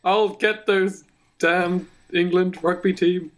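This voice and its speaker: A simple-minded, devoted son, acted out by a man for a comedy radio play. He pitches his voice up and exclaims everything melodramatically, like a distraught amateur hero. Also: fairly even intonation, takes it slowly.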